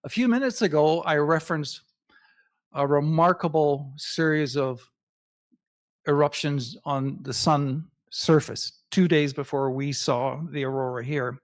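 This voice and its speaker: A man with a fairly high voice lectures to an astronomy club audience over his slides. English is his second language, and he speaks in a measured, emphatic way, pausing between phrases. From Canada. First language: not English.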